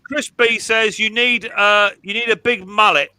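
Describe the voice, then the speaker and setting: A man's voice: sits high, steady tone, normal speed, crowd noise. A man hosting a casual live stream chat, teasing the guests and stumbling over repeated words. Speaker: channel host.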